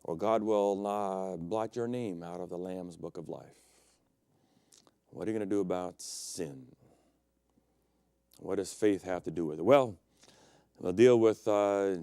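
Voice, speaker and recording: A middle-aged man preaching a sermon as pastor, telling personal stories and swinging between loud emphatic peaks and quiet, low passages. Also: a little slow, long pauses, clear, resonant tone, no echo, studio quality. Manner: storytelling preacher, personal anecdotes.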